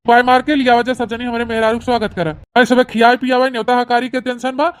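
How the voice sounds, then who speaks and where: Woman voicing a high-pitched, bossy Awadhi village man. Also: little pitch movement, fast talker, clear, resonant tone, close to an everyday voice. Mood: arguing. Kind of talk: rustic comedy cartoon dialogue.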